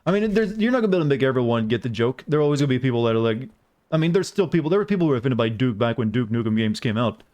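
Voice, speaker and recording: Fast-talking American male game streamer. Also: mid-range voice, animated, a little mellow. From southern United States. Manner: rambling, jokey, self-deprecating. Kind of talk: casual live gameplay commentary, chatting with viewers.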